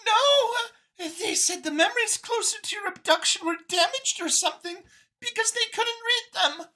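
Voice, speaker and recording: A woman's voice: very high-pitched, lively intonation, normal speed, sharp tone, pitched above the performer's own voice, full character voice. A woman voicing a nervous, earnest female alien mouse scientist in an audiobook, with a flustered, eager way of talking. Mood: flustered, defensive. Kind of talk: acted audiobook character.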